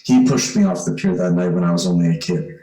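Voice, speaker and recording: A man's voice: low voice, quick pace, dark tone, faint music behind, lightly acted. A man playing an earnest, hesitant young man in an old-time radio suspense play, with boyish exclamations. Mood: earnest, confessing. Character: earnest boyish young man who trapped his would-be killer. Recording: roomy, echoing amateur radio play.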